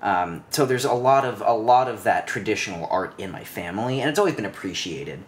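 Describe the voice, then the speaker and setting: A man's voice: on the low side, a little fast, a little hiss or hum. A young man in his twenties, a podcast guest, rambling through childhood stories in a casual chat on a living room couch, speaking into a studio mic on a stand. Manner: rambling, many restarts and fillers, animated storytelling. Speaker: podcast guest, cinematographer and actor.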